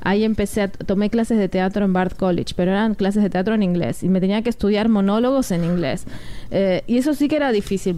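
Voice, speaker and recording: Woman, radio guest, mellow tone, smooth resonant voice, casual chat. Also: medium pitch. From Argentina. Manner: chatty, with restarts and fillers.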